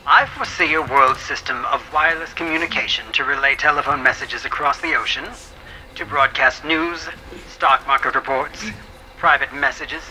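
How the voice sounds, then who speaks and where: A man's voice: medium pitch, pushed and bright, slight background noise, poor audio. A man playing a stern, scornful government attorney in a courtroom audio drama, cross-examining in a pompous, clipped, theatrical manner.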